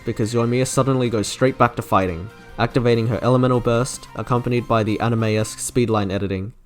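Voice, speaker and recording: Man narrating a review over music. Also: medium pitch. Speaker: video host and reviewer. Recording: music behind the voice.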